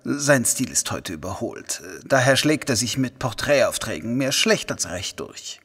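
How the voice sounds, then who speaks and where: A man acting a smug, callous wealthy gentleman in a German audio drama, with affected, mock-courteous theatrical delivery. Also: mid-range voice, sing-song, measured pace, breathy edge, in a large hall, exaggerated voice. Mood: condescending explaining.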